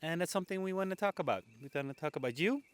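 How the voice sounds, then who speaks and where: A man's voice: fairly high pitch, expressive, very fast, smooth. A man hosting a conversational podcast interview outdoors on a public bench, talking casually.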